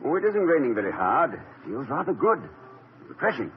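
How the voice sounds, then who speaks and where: A whimsical, chuckling daydreamer. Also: male voice, medium pitch, big pitch swings, moderate pace, narrow, tinny audio, noisy background. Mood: tender. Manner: genteel, playful, chuckling. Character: whimsical, tender male college president, a gentle daydreamer. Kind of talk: 1950s radio drama acting. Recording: old radio broadcast recording with music.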